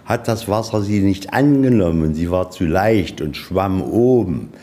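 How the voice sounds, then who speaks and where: A man's voice: deep voice, animated, a little slow, warm tone. A man guiding visitors through a museum, explaining exhibits step by step in plain, colloquial words. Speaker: museum guide. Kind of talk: explaining exhibits on a guided tour. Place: inside a museum, on location.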